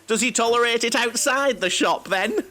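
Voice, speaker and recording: An actor playing a chatty, fussy, jokey coach passenger in a comedy audio play, with a flustered, prattling manner. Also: male voice, very high-pitched, normal speed, bright, forceful tone.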